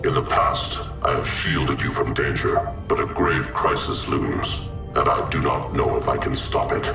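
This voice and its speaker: A man's voice: unhurried, gravelly, full character voice. An actor playing an ominous ancient spirit who speaks as a grave, formal sorcerer in a video game cutscene, with supernatural processing on his voice. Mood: grave warning. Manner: solemn, portentous, formal. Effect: deep echoing supernatural voice processing. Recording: echoey, distant-sounding, under loud music.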